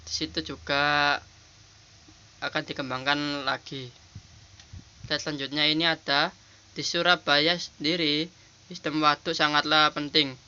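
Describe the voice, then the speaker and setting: Hoarse young man with a cold. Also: on the high side, level tone, sharp tone. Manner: halting, polite, reading from slides. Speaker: student presenter. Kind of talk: class presentation over slides. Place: small shop. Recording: lo-fi home recording.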